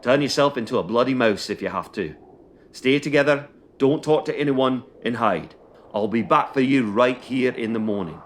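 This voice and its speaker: Gruff, blunt prison escort, acted by a man. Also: mid-range voice, expressive, moderate pace, a little hiss or hum, lightly acted. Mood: low, grave urging. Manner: commanding, colloquial, sweary, plain-spoken. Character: gruff, blunt male escort guard warning boys about prison. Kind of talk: audio drama acting.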